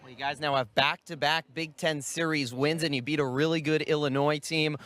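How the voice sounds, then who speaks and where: High-voiced male sports reporter. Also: fairly even intonation, a little fast, fairly bright tone.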